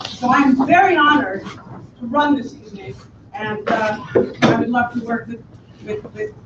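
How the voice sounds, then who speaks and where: A woman's voice: on the high side, a little slow. A middle-aged or older woman giving a campaign speech as a board member at a public meeting. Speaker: long-serving board member running for office. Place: indoor public meeting room. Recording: echoey, distant, dull, clearly noisy room.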